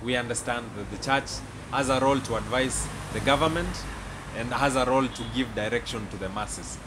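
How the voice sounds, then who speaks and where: A young man with a Kenyan accent, speaking formally and deliberately as an interviewer into a handheld microphone. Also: medium pitch, noisy background. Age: young adult. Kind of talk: measured, formal discussion introduction. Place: seated indoors in a small studio set. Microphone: handheld microphones close to the mouth.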